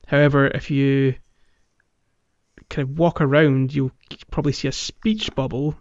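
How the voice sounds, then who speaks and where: A dull, very dry close recording of a man with a mid-pitched voice. He co-hosts a casual podcast, explaining things in a halting, conversational way. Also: little pitch movement, a little mellow, no room sound. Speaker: podcast co-host. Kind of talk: casual game-walkthrough podcast chat.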